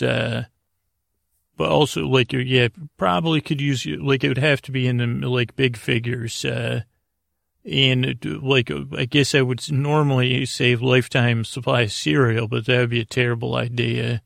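Middle-aged male sleep-podcast host, very heavy vocal fry, low-pitched-to-middling register, meandering and halting delivery, recorded close and dry. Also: little pitch movement, a bit bright, no echo. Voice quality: creaky, gravelly. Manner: meandering, halting, self-interrupting. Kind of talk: rambling bedtime sleep-podcast monologue.

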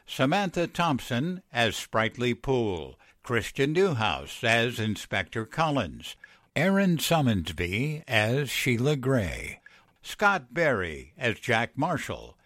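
Slow, expressive male radio announcer. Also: medium pitch, no room sound, clean studio sound. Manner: formal, deliberate. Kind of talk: scripted old-time radio announcing.

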